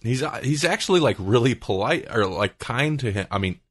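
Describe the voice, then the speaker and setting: A man's voice: mid-range voice, animated, brisk, breathy edge, dry recording. A man hosting a podcast, talking casually in a rambling, conversational way as he retells things. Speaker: podcast host.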